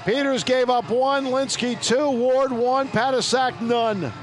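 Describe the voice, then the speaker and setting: High-voiced male radio play-by-play announcer with a brisk read over a music bed. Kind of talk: radio sports broadcast.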